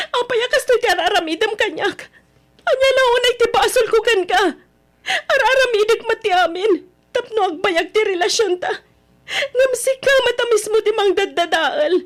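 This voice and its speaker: A young woman acting a heartbroken, betrayed girlfriend in a radio drama, with a tearful, pleading, melodramatic delivery. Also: normal speed. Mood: tearful, accusing. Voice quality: tearful, very high voice.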